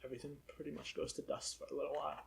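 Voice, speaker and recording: A man's voice: a little high, steady tone, very fast, gravelly. A young man from Ethiopia chatting easily as a talk-show guest, laughing often and saying "you know" a lot. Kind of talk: casual chat.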